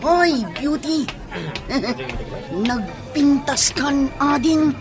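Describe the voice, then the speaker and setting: A flirty Ilocano tomboy in a radio drama, calling out in a very slow, high voice. Music and crowd noise sit behind her. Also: sing-song, exaggerated voice. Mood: flirtatious. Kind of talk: Filipino radio drama acting. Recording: music and street crowd effects.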